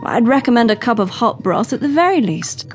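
A woman's voice: fairly high pitch, sing-song, a little fast, faint music behind. An actress playing a proper, solicitous lady passenger in a radio drama, polite and well-mannered. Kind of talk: audio drama acting.

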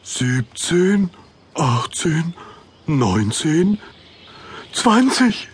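A man playing a friendly, simple-minded cave monster in a children's cartoon audio drama, plodding and husky. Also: fairly high pitch, very animated, extremely slow, exaggerated voice. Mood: playful, searching. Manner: plodding, dopey.

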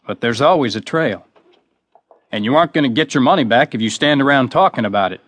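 Cool, laconic drifter, medium pitch. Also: male voice, animated, fast, a bit bright, no echo. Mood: cool, probing. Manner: laconic, self-assured. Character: cool, laconic drifter cowpuncher, lean male stranger.

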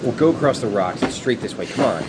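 An actor playing a bold, grumbling young college pledge in a naturalistic radio drama. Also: male voice, medium pitch, expressive, fast, background noise, close to an everyday voice. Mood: resigned, encouraging.

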